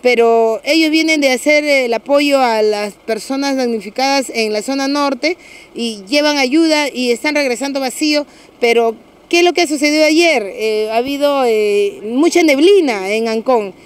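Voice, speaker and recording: Measured Peruvian woman giving an official statement. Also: high-pitched, smooth. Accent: Peruvian Spanish. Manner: measured, official, with many fillers and restarts. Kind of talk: street interview statement. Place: outdoors on a street. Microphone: several handheld press mics.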